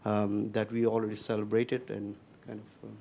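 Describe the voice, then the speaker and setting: A young man from Nepal with a Nepali accent, asking an unscripted question at a panel discussion in a halting way, often repeating words. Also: fairly low pitch, monotone, gravelly, dark tone. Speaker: audience member, PhD student.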